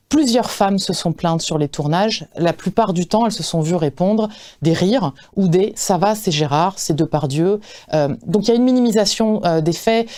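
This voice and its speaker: A woman journalist explaining an investigation in a measured way with occasional fillers. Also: medium pitch, mellow. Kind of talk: interview explanation.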